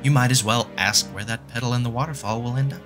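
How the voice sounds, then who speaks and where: A man's voice: mid-range voice, fast, music in the background, close to an everyday voice. A man voicing a confident, sardonic male mathematician who explains things patiently and emphatically in a fan audio drama. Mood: lecturing. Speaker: fan voice actor.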